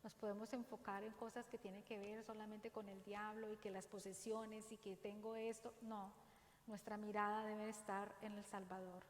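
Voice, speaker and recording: A woman with a Dominican accent gives an earnest, exhorting faith talk in a church, speaking slowly and deliberately. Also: mid-range voice. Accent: Dominican Spanish. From Dominican Republic. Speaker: parish speaker. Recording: roomy church echo, faint ambience.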